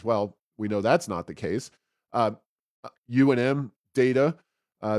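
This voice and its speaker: A man with a medium-pitched voice talks through political commentary as a podcast co-host. He slips in sarcastic asides and often stops to correct himself. The sound is dry, with little room on it. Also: darkish tone, dry recording.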